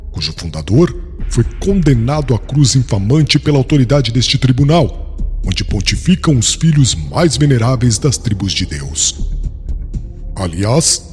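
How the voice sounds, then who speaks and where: A proud, harsh young doctor of the law, acted by a man, questions like a prosecutor in an audiobook drama. His speech is stern and ceremonious, with animated pitch. A long hall reverb surrounds it, with faint music behind. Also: natural voice. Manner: stern, formal, pompous.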